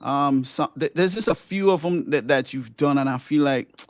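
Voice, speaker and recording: A middle-aged man from Grenada hosting a casual podcast interview, with a light, somewhat squeaky voice, talking eagerly and rambling with many restarts. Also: normal speed, no room sound. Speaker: podcast host and musician.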